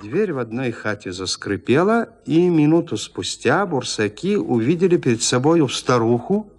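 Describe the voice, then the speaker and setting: A man narrating a classic literary tale for an audio drama in a measured, expressive storytelling manner. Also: mid-range voice.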